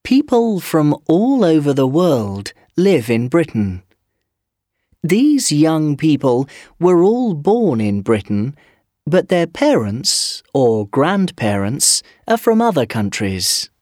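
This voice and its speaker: A reader voicing a language textbook recording, speaking clearly and deliberately for learners. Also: mid-range voice, no room sound, clean studio sound. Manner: clear, deliberate, lively intonation. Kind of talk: slow scripted reading for learners.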